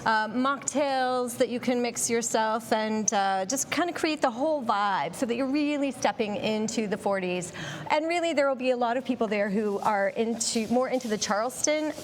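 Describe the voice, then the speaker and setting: A woman's voice: fairly high pitch, normal speed, big-room sound, slight background noise. A woman guest explaining event details in a conversational interview as an organiser.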